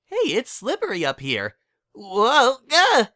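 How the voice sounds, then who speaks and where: A man voicing a hyper, zealous female party pony in an excitable, gushing story reading. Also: very high-pitched, slow pace, pitched above the performer's own voice, exaggerated voice. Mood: bellowing. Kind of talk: dramatic reading of fan fiction.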